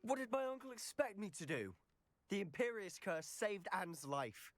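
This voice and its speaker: Defiant schoolboy wizard, fairly high. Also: male voice, very animated, normal speed, fairly bright tone, no room sound, faint music behind, heavily acted. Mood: defiant, defensive. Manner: defiant, earnest. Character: defiant teenage schoolboy wizard, protective of his sister. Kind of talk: dramatic video game acting.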